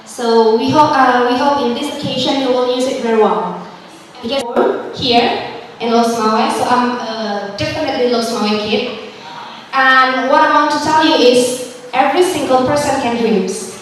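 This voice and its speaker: A woman's voice: high voice, steady tone, moderate pace, slight background noise. Indonesian-accented woman in an echoing room. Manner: hesitant, searching for words, uh fillers. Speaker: guest speaker. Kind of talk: classroom talk to students. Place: classroom. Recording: echoey hall, voice from far off.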